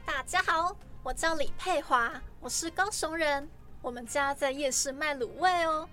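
High-pitched, chatty freshman girl, acted out. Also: normal speed, sharp tone, soft background music. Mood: cheerful. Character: cheery, chatty female freshman from a food-stall family. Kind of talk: radio drama acting.

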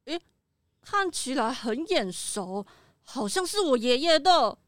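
A woman's voice: high-pitched, very animated, slow, noisy background, heavily acted. A woman voicing an earnest young innkeeper who frets and pleads over a frail grandfather, in a children's audio drama. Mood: regretful. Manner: fretful, pleading.

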